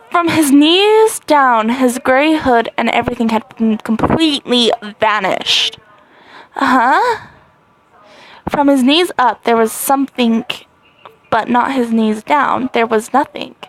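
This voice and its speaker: A woman reading a story game aloud, in an excitable, dramatic way with squeaky rises. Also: dry recording. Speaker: gaming channel host.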